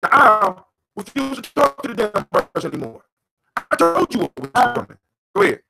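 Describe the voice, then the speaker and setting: Talk host, American, creaky delivery, emphatic and repetitive, teaching conversationally over music. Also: medium pitch, sing-song, moderate pace. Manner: emphatic, repeats phrases, checks in with "you know what I'm saying". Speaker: host of a call-in talk on folktales.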